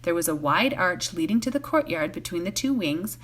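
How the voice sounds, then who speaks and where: A woman reading a novel aloud as a bedtime story, in an expressive narrating style. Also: fairly low pitch, normal speed. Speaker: volunteer story reader.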